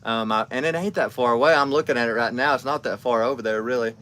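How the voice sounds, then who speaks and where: A man's voice: medium pitch, fast talker, fairly bright tone. Folksy thirty-something man chatting on a livestream. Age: 30s. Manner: rambling, friendly, folksy with many fillers and restarts. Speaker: livestream host. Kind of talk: casual livestream chat reading comments.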